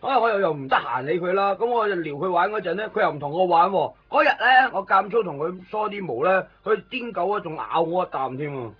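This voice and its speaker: A man's voice: sits high, animated, brisk, few pauses, slight background noise. A man acting a fussy, caring, chatty neighbour in a radio drama, with a Hong Kong Cantonese accent, stammering repeats and exclaiming as he goes. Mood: fussy, complaining. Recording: dull lo-fi radio recording, music behind.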